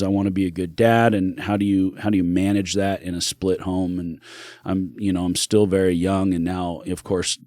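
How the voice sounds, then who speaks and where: Low-voiced man, earnest and reflective. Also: darkish tone, no room sound, clean studio sound. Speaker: podcast guest. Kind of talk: candid personal storytelling.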